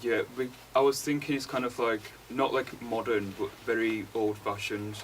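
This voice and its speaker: A young man, a college student giving an informal class presentation in a flat, hesitant way. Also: mid-range voice, moderate pace. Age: young adult. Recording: distant mic, faint room noise.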